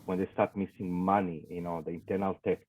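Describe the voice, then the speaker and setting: A man with a Croatian accent, a guest in an interview, speaking English as a second language with many fillers and halting phrasing. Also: low-pitched, little pitch movement, muffled recording. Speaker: guest, investigations business owner. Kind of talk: casual interview talk.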